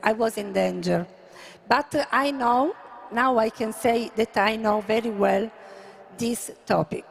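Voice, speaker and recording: A woman with an Italian accent moderating a live conference panel in halting, hesitant English with repeated words. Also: fairly high pitch, creaky. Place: conference venue. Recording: faint live venue background noise.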